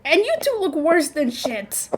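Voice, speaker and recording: A woman's voice: sits high, very animated, measured pace, noisy background. A woman playing a snobbish, entitled and spiteful young woman in an audio drama. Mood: scornful. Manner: haughty, snappish.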